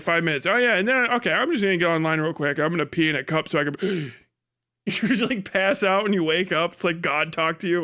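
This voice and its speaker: A man's voice: sits high, pushed and bright. A man livestreaming mocking commentary on a video, casual and sweary, with incredulous exclamations.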